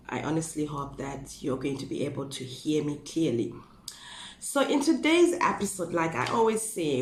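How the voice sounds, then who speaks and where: A woman in her thirties with a Zimbabwean accent, hoarse from a flu, telling a true crime story in a chatty way with asides and rhetorical questions, filmed indoors at a desk. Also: sits low. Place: small room indoors. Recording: echoey room.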